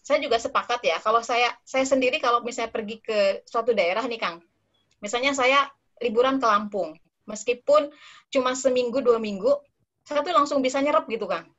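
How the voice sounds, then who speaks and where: A woman speaking as a researcher in a relaxed, chatty online discussion, with many fillers and tag words. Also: a little high, brisk. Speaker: invited speaker, dissertation researcher. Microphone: online call audio. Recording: slightly dull online call audio.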